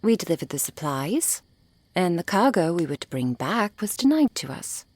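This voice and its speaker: A woman acting a composed, refined, cold-hearted merchant in an ASMR roleplay, with formal, precise, measured diction. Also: fairly low pitch, sing-song, a little mellow, no echo. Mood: cool, matter-of-fact. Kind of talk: ASMR roleplay audio drama.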